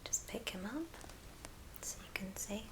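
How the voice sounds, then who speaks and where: A woman whispering softly and unhurriedly through an ASMR craft tutorial, leaving pauses between short phrases. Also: medium pitch. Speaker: ASMR craft video host.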